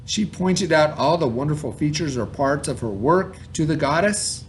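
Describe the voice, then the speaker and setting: A male teacher with a moderately high voice reads and explains for children. His delivery is informal and direct, in the manner of classroom storytelling and review. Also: expressive, moderate pace, slight background noise. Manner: informal, questioning, talks directly to pupils. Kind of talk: children's read-aloud and lesson.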